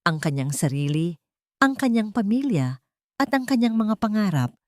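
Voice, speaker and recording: A woman's voice: medium pitch, big pitch swings, normal speed, darkish tone, dry recording. A woman reading a scripted romantic story as a dramatic narration.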